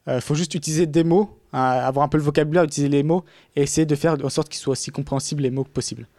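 A man's voice: a little high, fairly even intonation, rapid-fire, dark tone, no echo, studio quality. A man talking casually in a podcast conversation, rambling with many fillers and restarts.

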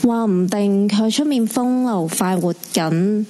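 Mellow, bossy clinic assistant, acted by a woman. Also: mid-range voice, measured pace, natural voice. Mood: blunt, indignant. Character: brash, bossy female clinic assistant and friend. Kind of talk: Cantonese live radio drama acting. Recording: hissy, faintly noisy recording.

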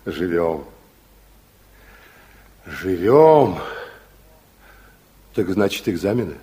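A man's voice: low-pitched, very animated, drawn-out words, mellow, breathy edge, heavily acted. An actor playing a weary, earnest, watchful secret policeman in a fatherly, probing way, in a 1960s Soviet radio play. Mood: friendly, probing. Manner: probing, repeats words back. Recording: muffled-edged 1960s radio drama recording.

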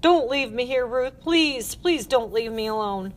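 A woman's voice: mid-range voice, normal speed, lightly acted. Woman acting a lonely, pleading young girl. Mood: desperate. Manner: pleading, earnest. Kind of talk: audiobook character voice.

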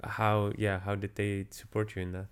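An interviewer asking questions in a relaxed, conversational way, with short affirming interjections. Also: deep voice, normal speed, clean tone.

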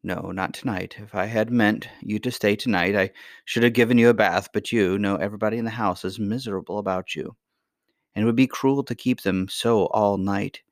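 A mysterious, kindly grandmother from a children's storybook, read by a male narrator. The voice sits fairly low and stays serene, gentle and patient, reassuring as it instructs. The sound is dry. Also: fairly even intonation, fairly quick, no room sound, lightly acted. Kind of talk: acted dialogue in a children's fairy tale reading.

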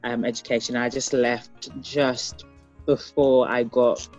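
An interview guest who grew up in Ghana and later Europe, speaking reflectively and haltingly, often searching for words. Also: mid-range voice, little pitch movement, muffled recording, soft background music. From born in Liberia, raised in Ghana, later the Netherlands and UK.

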